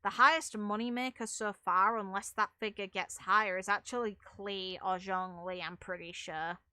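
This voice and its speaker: A woman's voice: medium pitch, steady tone, moderate pace, sharp tone, clean tone, no room sound. A woman chatting casually on a livestream as a game streamer, giving her opinions in an emphatic, opinionated way. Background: music.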